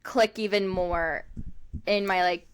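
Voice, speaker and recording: Twenties woman co-host, loose and chatty, leans on "like", laughs easily, medium pitch. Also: fairly even intonation, slow pace, smooth. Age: 20s. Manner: chatty, rambling, heavy use of "like", laughs often. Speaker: podcast co-host. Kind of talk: casual chat.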